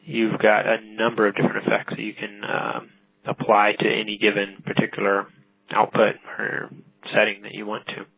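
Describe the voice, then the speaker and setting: A man demonstrating a product in a casual, halting, matter-of-fact tutorial narration. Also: on the low side, monotone, vocal fry, husky, narrow, tinny audio.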